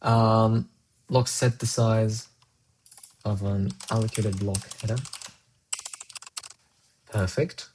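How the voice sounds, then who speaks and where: Man, Spanish speaker, casual live coding, thinking out loud, faint keyboard typing behind. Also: fairly low pitch, fairly even intonation, takes it slowly, long pauses, a little hiss or hum. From Colombia. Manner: muses aloud, answers chat between tasks. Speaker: programmer streaming live coding.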